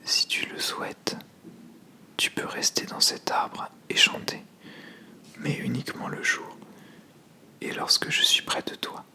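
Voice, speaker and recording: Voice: a little slow, long pauses, bright, forceful tone. A storyteller voicing a grumpy, lonely and powerful male dragon god in a stern whisper for an ASMR tale. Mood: softened, grave.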